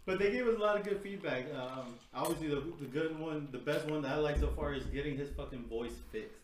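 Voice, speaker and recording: A man's voice: fairly high pitch, brisk. A man, co-hosting a casual podcast chat in a loose, laid-back way, swearing now and then. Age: 30s. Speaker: podcast co-host. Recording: roomy echo.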